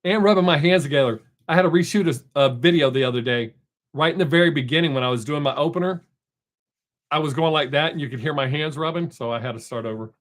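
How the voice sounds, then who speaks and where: A man's voice: fairly high pitch, fast. A middle-aged man, hosting a casual live stream and chatting with viewers. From American South. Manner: chatty, rambling, reads chat aloud. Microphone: desk microphone.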